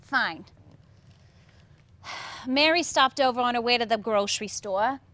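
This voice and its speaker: A woman's voice: on the high side, measured pace, pushed and bright. A woman playing a teasing, loving 1950s housewife with dry sass in a staged play reading. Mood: wry.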